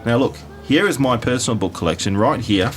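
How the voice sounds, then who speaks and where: Comedy bookseller, played by a man: middle-pitched, chatty, insistent, shamelessly bluffing, music in the background. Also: animated, brisk, close to an everyday voice. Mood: defensive bluffing. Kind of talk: comedy radio sketch.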